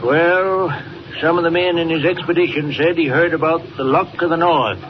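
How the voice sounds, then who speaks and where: A man acts out an old icebreaker captain for a 1940s radio serial. He is folksy and superstitious, with rustic dialect and a high-pitched voice. The sound is dull and thick with old broadcast noise. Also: fairly even intonation, normal speed, lightly acted. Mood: folksy, wary. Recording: muffled, very noisy 1940 radio recording.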